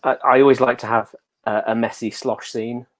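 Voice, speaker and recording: A man's voice: medium pitch, little pitch movement, normal speed, dry recording. An English man chatting casually and telling anecdotes as an interview guest, with a jokey, self-deprecating manner. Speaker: interview guest, pantomime dame performer.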